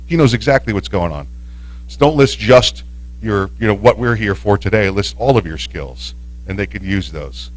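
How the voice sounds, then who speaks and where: A man with a slightly low voice talks loosely on a live-stream panel. He rambles, trips over his words and restarts sentences often, in the easy way of a radio regular chatting with peers. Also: lively intonation, normal speed. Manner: rambling, with many restarts and fillers.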